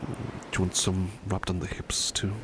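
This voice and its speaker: A man playing a devoted, polite butler in a soft-spoken ASMR roleplay, gentle and soothing, often dropping into a murmur or whisper. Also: sits low, monotone, normal speed, heavy background noise, natural voice. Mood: soothing, caring. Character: devoted, tender, polite male butler servant.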